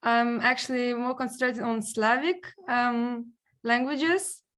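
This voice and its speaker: A woman's voice: fairly high pitch, fairly even intonation, slow pace, a bit bright. A woman student from Ukraine with a Ukrainian accent, asking hesitantly and searching for words. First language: Surzhyk.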